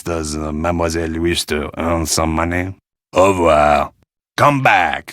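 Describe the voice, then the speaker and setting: Deep-voiced French market vendor. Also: male voice, sharp tone, no room sound, studio quality. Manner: courteous, formal salesman's patter. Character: polite, insistent Parisian male flea-market vendor. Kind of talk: video game character dialogue.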